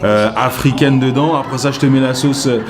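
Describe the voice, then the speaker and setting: A man's voice: mid-range voice, brisk, a crowd in the background. A young man with a Paris-suburbs accent, talking casually and rambling with fillers in an interview. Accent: French from the Paris suburbs, slightly Québec-tinged. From France. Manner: relaxed, rambling, with frequent fillers. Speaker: comedian as interview guest.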